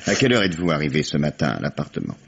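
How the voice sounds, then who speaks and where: A dry, ironic police commissioner with a low, very husky voice. He questions people in a teasing, unhurried manner, in 1950s radio drama style. The sound is a dull old radio recording. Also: wildly swooping pitch, heavily acted. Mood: probing.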